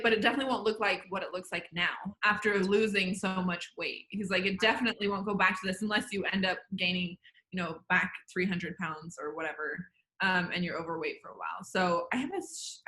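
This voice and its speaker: A woman's voice: medium pitch, brisk, a bit bright, low-quality recording. A woman, a podcast guest chatting casually and rambling, with lots of "like". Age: 20s. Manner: rambling, heavy use of "like". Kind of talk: casual chat.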